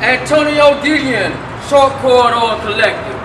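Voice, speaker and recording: A man's voice: very high-pitched, animated, a little slow, background noise. A man performing a dramatic reading of a written statement. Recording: echoey room with music underneath.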